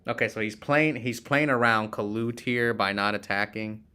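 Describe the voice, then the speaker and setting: A man streams an online card game with a bright, crisp-edged voice. He thinks aloud about his moves in a casual way, restarting phrases and chatting to the people watching. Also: on the low side, fairly even intonation, moderate pace. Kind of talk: casual live game commentary, chatting with viewers.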